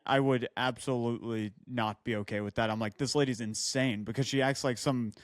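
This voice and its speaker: A man chatting as a podcast co-host. His pitch is middling and the sound is dry, free of echo. He riffs playfully in an easy conversational style. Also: steady tone, brisk. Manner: playful riffing. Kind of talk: casual podcast banter.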